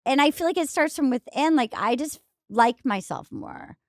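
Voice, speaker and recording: A woman in her forties with a high voice, chatting candidly on a podcast in an animated, rambling way. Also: moderate pace, dry recording, studio quality. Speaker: guest comedian. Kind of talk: casual podcast chat.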